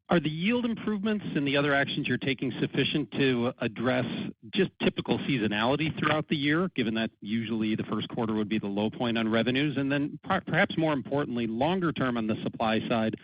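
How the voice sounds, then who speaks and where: A male analyst puts questions on a conference call in a semi-casual professional manner. His voice is mid-pitched, and the audio is dry and slightly muffled. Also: no room sound. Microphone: conference call line.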